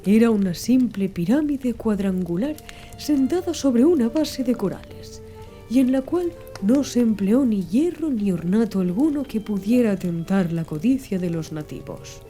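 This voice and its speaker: Very soft, mellow woman narrating an audiobook. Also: fairly high pitch, lively intonation, unhurried, faint music behind. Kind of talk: scripted literary audiobook narration.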